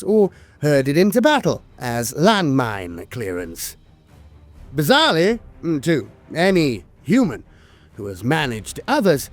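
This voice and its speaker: A man reading scripted fantasy war lore as a narrator, in a theatrical, deliberate manner with pauses and hesitant filler sounds. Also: fairly high pitch. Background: music.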